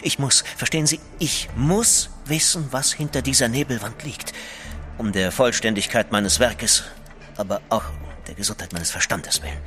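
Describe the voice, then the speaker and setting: An actor in a German audio drama playing a driven gentleman writer, dramatic and insistent, sometimes hushed. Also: medium pitch, moderate pace, faint music behind, close to an everyday voice. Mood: earnest, insistent. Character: intense, driven gentleman writer pleading for help.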